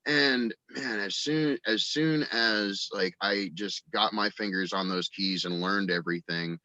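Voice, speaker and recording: A man's voice: lowish voice, unhurried, pushed and bright, dry recording, muffled recording. A man chatting casually as an interview guest, rambling enthusiastically about his creative work. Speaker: guest, audio drama writer and voice actor.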